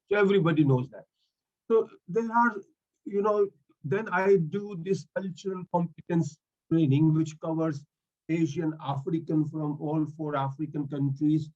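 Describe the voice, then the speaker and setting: A man's voice: a little high, mellow, lo-fi sound. A man in his fifties whose first language is Swahili, speaking earnestly in non-native English as a mental health link worker in an online meeting. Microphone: video call.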